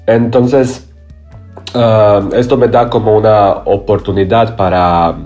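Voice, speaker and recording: A man from Croatia, a playwright, speaking haltingly in an as an interview guest, often searching for words. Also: fairly low pitch, steady tone, a little mellow, dull audio, faint music behind. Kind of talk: interview conversation.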